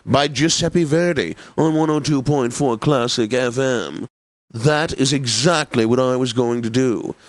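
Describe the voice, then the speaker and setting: A pompous, genteel classical station host from a satirical video game. His medium-pitched voice savors each phrase with theatrical, drawn-out announcing, and the sound is dry and close. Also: no echo. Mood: grand, savoring. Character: pompous, genteel male classical radio DJ, faintly lecherous. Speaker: classical radio DJ. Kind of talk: scripted classical radio announcing, game parody.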